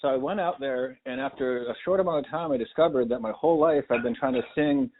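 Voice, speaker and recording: A man's voice: mid-range voice, quick pace, a little hiss or hum. A man in his fifties, a musician in casual podcast chat, rambling and candid and swearing freely. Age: 50s. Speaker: guest musician. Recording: tinny phone-like sound.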